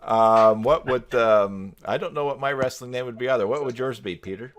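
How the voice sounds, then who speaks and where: A man's voice: medium pitch, moderate pace. A man hosting a casual video call chat, joking and teasing as he talks. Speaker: live stream host.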